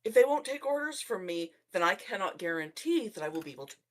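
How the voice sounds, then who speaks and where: A woman's voice: mid-range voice, sing-song, quick pace. A woman playing a tabletop roleplaying game, speaking in character with a wry, sardonic manner.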